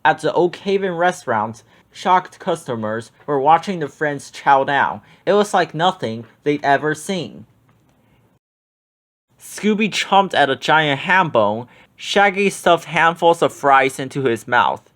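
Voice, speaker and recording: A man reading a children's book aloud in an expressive, lively way. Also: on the high side, unhurried.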